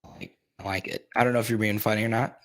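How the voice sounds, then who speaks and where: A man's voice: on the low side, fairly even intonation, very fast, a bit bright. A young man hosting a sports live stream, rambling casually while reading and answering viewers' chat comments in his plain, everyday voice.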